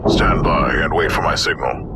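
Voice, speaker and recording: A man playing a grim, guarded commander, speaking tersely and low-key in a sci-fi game cinematic. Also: normal speed, vocal fry, loud music behind the voice. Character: grim, guarded commander leading a boarding mission.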